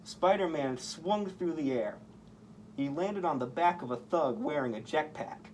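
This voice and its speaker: A man's voice: a little high, expressive, measured pace, a little mellow, slight background noise, low-quality recording. A man reading a picture book aloud to children as a costumed story-time performer. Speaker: costumed story-time reader in superhero persona.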